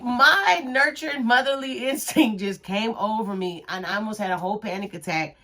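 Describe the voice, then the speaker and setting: A woman's voice: mid-range voice, fairly bright tone. A woman in her thirties giving a casual opinion monologue, speaking emphatically and a bit preachy. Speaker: commentary vlogger.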